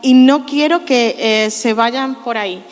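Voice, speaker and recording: A woman's voice: a little high, a little slow. A woman in her thirties hosting a church youth panel, chatty and jokey, exclaiming often. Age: 30s. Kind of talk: casual church talk. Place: church hall. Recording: long hall reverb.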